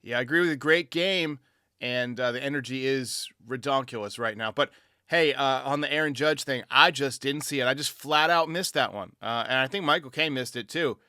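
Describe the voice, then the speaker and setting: A man's voice: mid-range voice, normal speed, sharp tone, smooth, no echo, studio quality. A man hosting a baseball fan show, giving his commentary in answer to callers. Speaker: sports show host.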